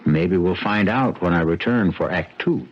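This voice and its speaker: A man hosting a radio mystery series, narrating theatrically with musing questions and dramatic pauses. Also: sits low. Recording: dull 1970s radio broadcast.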